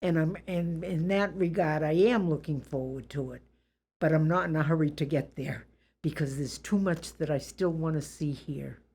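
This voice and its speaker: An elderly woman with a Boston accent, reflecting on her life in an interview in a deliberate, plain-spoken, good-humored way. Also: low-pitched, a little mellow, slightly rough. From Boston area, USA.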